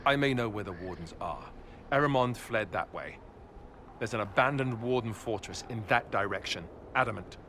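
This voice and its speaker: A man's voice: on the low side, moderate pace, natural voice. Guy doing a serious knight type, earnest, hissy audio. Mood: grave.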